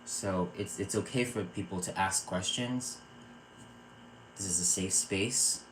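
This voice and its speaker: A man from Virginia hosting a spiritual live stream, talking earnestly and calming his viewers with a repeated "okay". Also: deep voice, a little slow, noisy background. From Virginia, USA. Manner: earnest, repeats words and restarts phrases. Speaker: psychic circle host. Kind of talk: live-stream spiritual talk answering chat.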